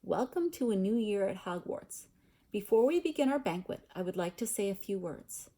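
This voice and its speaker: A woman's voice: mid-range voice, animated, moderate pace, warm tone. A woman voicing a whimsical, ceremonious old male headmaster wizard in a storybook. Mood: playful, ceremonious.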